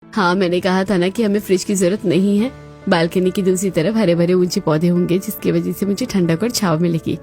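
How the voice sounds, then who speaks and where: A woman's voice: on the low side, fairly even intonation, a little fast, dark tone, close to an everyday voice. A woman playing a practical, cheerful young housewife in a cartoon family story, with music behind her. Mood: upbeat, explaining. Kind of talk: Hindi cartoon story dialogue.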